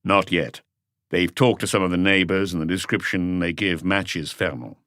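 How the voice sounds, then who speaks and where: Man as a low, composed police detective. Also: steady tone, fairly bright tone, no echo, clean studio sound. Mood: measured, serious. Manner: measured, matter-of-fact. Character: composed, seasoned male police detective chief. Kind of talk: audiobook character voice.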